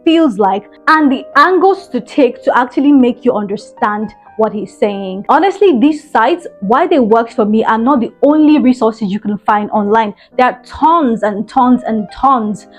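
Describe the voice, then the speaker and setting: A woman's voice: fairly high pitch, animated, a little slow, hardly any pauses, soft background music. A young woman vlogger giving earnest, encouraging study tips straight to camera, often repeating words for emphasis. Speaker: language-learning vlogger. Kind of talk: tips vlog, direct to camera.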